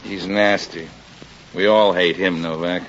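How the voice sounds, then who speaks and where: An actor playing a tough, suspicious homicide detective with a dry, needling delivery in 1940s radio crime drama style. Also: male voice, low voice, steady tone, unhurried. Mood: suspicious, sarcastic. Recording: muffled, hissy 1940s radio recording.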